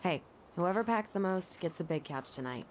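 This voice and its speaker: A woman's voice: lowish voice, expressive, moderate pace, darkish tone, slight background noise, close to an everyday voice. An actress playing a guarded, reluctant young American woman worn down by grief in a video game. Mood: calm. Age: young adult. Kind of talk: video game cutscene acting. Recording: game dialogue with music behind.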